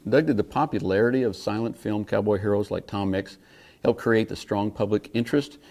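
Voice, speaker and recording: A man hosting a podcast interview, delivering prepared questions in a measured way. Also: fairly low pitch, darkish tone.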